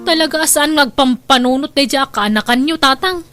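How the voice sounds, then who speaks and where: Radio drama part: actress as a tender, plaintive young daughter, extremely high voice, music underneath. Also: female voice, moderate pace, a bit bright, no echo. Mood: concerned. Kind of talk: Ilocano radio drama acting. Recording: music behind.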